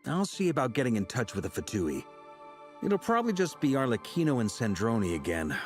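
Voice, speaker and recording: Jovial seasoned game knight, mid-pitched male voice, hearty, teasing, lively intonation, faint music. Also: brisk. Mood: easygoing. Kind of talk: video game voice acting. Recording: music behind the voice. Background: background music.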